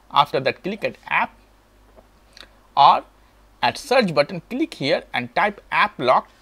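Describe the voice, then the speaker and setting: A man's voice: on the high side, a bit bright, husky. A man hosting a step-by-step tech tutorial in short, simple phrases, with non-native English phrasing. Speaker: tutorial host.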